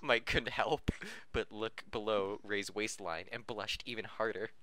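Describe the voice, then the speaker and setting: A man's voice: lowish voice, steady tone, measured pace, bright, forceful tone, no room sound. A man reading fan fiction aloud on a podcast, stumbling over words and correcting himself, often amused and breaking into laughter. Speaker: podcast reader.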